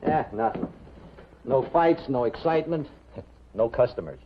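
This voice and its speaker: An actor playing a chatty, droll American diner counterman, husky and slangy, in a 1950s television drama. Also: medium pitch, expressive, unhurried, background noise, close to an everyday voice. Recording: muffled 1950s television soundtrack.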